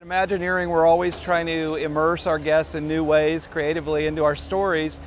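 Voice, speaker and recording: A man's voice: on the high side, flat delivery, brisk, a little hiss or hum. A man who designs theme park rides, explaining a new attraction enthusiastically, with many uhs and restarts. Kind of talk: interview explanation.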